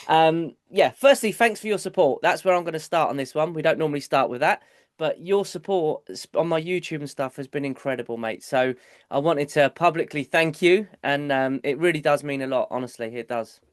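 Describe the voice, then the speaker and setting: A man's voice: on the high side, brisk, no room sound. Bunged-up guy hosting a podcast, chatty, keeps saying mate, dry mic. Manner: chatty, repeats words, says mate often. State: congested, stuffy-nosed. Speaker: podcast host. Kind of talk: casual podcast chat.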